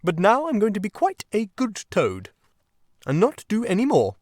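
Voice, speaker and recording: A man voicing a boastful, excitable toad gentleman from a storybook, in a gushing, plummy, melodramatic manner. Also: high-pitched, moderate pace, no echo, studio quality, pitched above the performer's own voice, exaggerated voice. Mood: gushing, contrite. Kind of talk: audiobook character acting.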